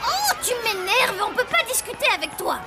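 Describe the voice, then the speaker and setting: Very high-pitched bossy little zombie girl. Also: sing-song, moderate pace, a loud crowd. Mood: indignant. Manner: bossy, reproachful. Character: young zombie girl, sensible scolding twin sister. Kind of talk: French-dubbed children's cartoon acting. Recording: music behind the voice.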